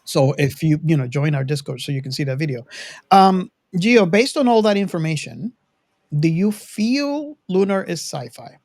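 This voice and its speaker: A man hosting a podcast in a casual, chatty style, talking in a halting, meandering way with emphatic sentence openings. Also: a little high, animated, darkish tone, no echo. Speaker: podcast host. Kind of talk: casual hobby chat.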